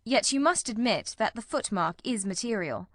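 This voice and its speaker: A woman's voice: a little high, expressive, normal speed, a bit bright, no echo, clean studio sound. A woman voicing a keen, probing male detective in an audiobook, with a formal, incisive and inquisitive manner. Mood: wry, questioning. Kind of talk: audiobook character reading.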